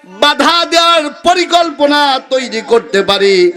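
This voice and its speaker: A man's voice: very high voice, lively intonation, unhurried, pushed and bright. A Bangladeshi man preaching an Islamic sermon emphatically, repeating phrases and urging his listeners to respond. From Kushtia region, Bangladesh. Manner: emphatic, rhetorical repetition, exhorting listeners to answer. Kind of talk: fiery sermon with call-and-response. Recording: live gathering with crowd behind. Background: crowd of listeners.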